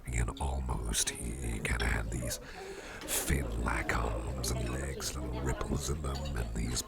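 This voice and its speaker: A man playing a friendly, affectionate office boss in an ASMR roleplay, murmuring in a breathy half-whisper and rambling gently as he repeats himself. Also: very deep voice, little pitch movement, measured pace, exaggerated voice. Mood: confiding, puzzled. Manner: hesitant, repetitive, gently rambling. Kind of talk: ASMR roleplay, intimate personal attention. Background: music.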